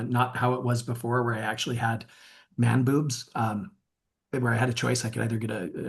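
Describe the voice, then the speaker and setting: A man coaching in a webinar, upbeat, asking viewers to rate themselves. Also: mid-range voice. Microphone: webinar mic.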